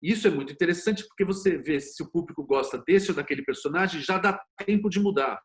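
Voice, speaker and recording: A man's voice: on the high side, fast talker. A Brazilian man speaking as an interview guest, explaining things informally and thinking aloud in a conversational way. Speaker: interview guest, screenwriter and series producer.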